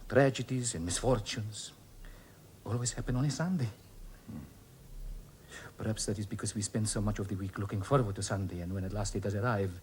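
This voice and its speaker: A man's voice: on the low side, slight background noise, natural voice. A man playing a genial, evasive businessman. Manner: placating, rambling, with frequent "eh?" tags. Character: genial, evasive middle-aged businessman neighbor. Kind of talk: radio drama acting.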